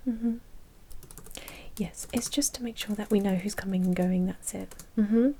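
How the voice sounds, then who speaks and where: A woman voicing a polite, obliging vampire blood bank manager in a soft, whispered ASMR roleplay, with courteous customer-service patter. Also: mid-range voice, steady tone, normal speed, slight background noise, natural voice. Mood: courteous, sympathetic.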